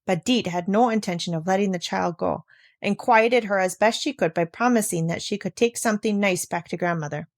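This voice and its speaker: Female audiobook reader, medium pitch, expressive storybook narration, very dry recording. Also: brisk, no room sound.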